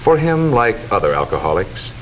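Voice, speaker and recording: An American man narrating a 1940s instructional film in a formal, measured, didactic way with precise enunciation, on an old film soundtrack. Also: fairly low pitch. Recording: noisy 1940s film soundtrack, steady hiss.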